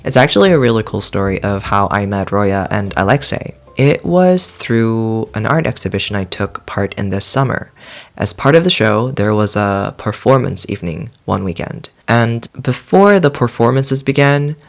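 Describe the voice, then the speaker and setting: A man's voice: lowish voice, expressive, normal speed, vocal fry, soft background music. A man hosting a podcast, reading an earnest, enthusiastic introduction to the new season. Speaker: podcast host. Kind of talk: warm scripted podcast intro.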